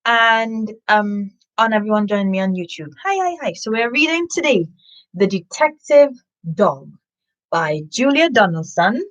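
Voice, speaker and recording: Animated, slow-paced woman hosting children's story time. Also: medium pitch, muffled recording. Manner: animated storybook delivery with sniffing and asides to listeners. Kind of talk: live chat and expressive picture-book reading.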